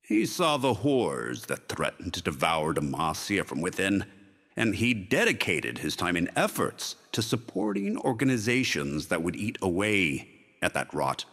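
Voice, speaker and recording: A man acting as a pompous zealot orator in an audio drama. He is insincere and unctuous, with very dramatic pitch swings and a slow, deliberate pace, booming through a long hall reverb. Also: huge pitch swings, gravelly, fairly bright tone, breathy edge, heavily acted. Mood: smug, oratorical. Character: pompous, insincere zealot leader of mage hunters, male.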